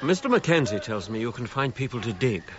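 An actor playing a formal, exacting gentleman archaeologist in a radio drama, with a precise, commanding manner. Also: medium pitch, sing-song, fairly quick, muffled recording, natural voice. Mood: crisp, questioning.

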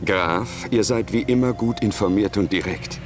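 An actor playing an earnest, courteous warrior monk in a video game, speaking politely and directly. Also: male voice, on the low side, moderate pace, over music, natural voice. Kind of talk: video game cutscene acting.

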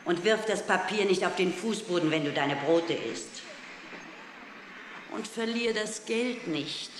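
A woman's voice: fairly low pitch, unhurried, natural voice. A woman playing a caring, fussing mother in a 1960s radio play, giving motherly instructions and admonishments. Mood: anxious, affectionate. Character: caring, fussing working-class mother of a schoolboy. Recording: vintage record with background noise.

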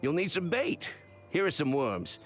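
A folksy old fisherman from a video game, gruff but kindly. He clips his words like someone handing down advice, and his pitch moves around a lot. Faint music plays behind him. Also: huge pitch swings, measured pace, fairly bright tone, heavily acted. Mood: folksy, instructive. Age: older. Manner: folksy, clipped words, cautionary. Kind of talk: video game character dialogue.

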